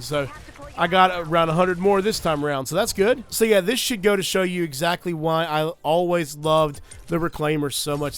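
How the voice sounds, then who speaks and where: A young man with a fairly high voice talks through his gameplay in an upbeat, chatty way. His voice sounds a little off from a lingering cold. Faint music plays behind him. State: recovering from a cold. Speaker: gaming YouTuber. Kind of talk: casual gameplay commentary and loadout guide.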